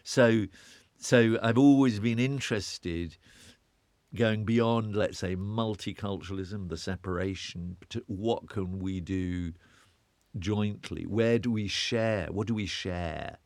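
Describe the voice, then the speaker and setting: A British man with a very deep voice talks through his ideas in a loose, reflective way, circling back and stumbling over repeated words. The recording is dry and close, with no room sound. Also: slow, darkish tone, no echo. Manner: rambling, self-interrupting, repeats words when searching. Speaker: interview guest, urbanist. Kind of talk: reflective conversational interview.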